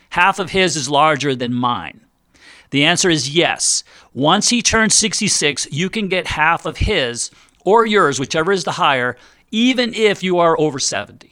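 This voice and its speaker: A bright, clear-voiced middle-aged man hosting a money radio show, upbeat and chatty with a salesman's easy patter. His voice is smooth and a little high, close to the mic in a dry studio with no room sound. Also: dry recording. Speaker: radio show host and financial advisor. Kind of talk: radio call-in style financial advice show. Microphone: studio microphone.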